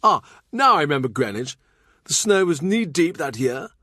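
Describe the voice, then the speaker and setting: A man reading a novel puts on a blustering nobleman, blunt and nostalgic. The voice is pushed higher and comes across emphatic, in a very dry recording. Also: sing-song, normal speed, sharp tone, dry recording, heavily acted. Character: blustering, nostalgic nobleman, old friend of the king.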